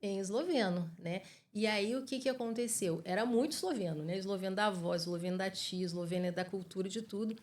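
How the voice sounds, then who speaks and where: Brazilian woman in her 40s, mid pitch, explains things earnestly, lots of little tag questions. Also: brisk, hardly any pauses, a little mellow. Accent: Brazilian Portuguese. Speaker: guest psychologist. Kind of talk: conversational interview answers.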